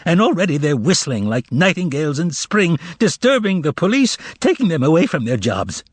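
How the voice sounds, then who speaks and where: A man's voice: extremely high pitch, very animated, measured pace, a bit bright, dry recording, voice pushed up, exaggerated voice. Korovyov, a man doing a thin quavering con man. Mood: mock-indignant. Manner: sarcastic, wailing, mock-indignant. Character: sly, theatrical male con man haranguing a crowd. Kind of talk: audiobook character voice.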